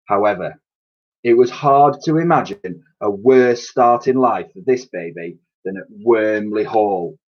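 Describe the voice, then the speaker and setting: Low-voiced man reading a storybook. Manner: expressive, explains as he goes. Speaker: teacher reading aloud to pupils. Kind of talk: children's storybook read-aloud. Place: small attic room with sloped ceiling, indoors. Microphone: webcam or laptop mic at arm's length. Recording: dull, lo-fi webcam sound.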